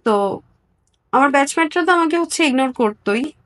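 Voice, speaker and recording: A young woman with a Bangladeshi accent giving a candid personal account in an interview, speaking earnestly and haltingly, with emphatic repetition. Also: high voice, animated, fairly bright tone. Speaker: interview guest, nurse.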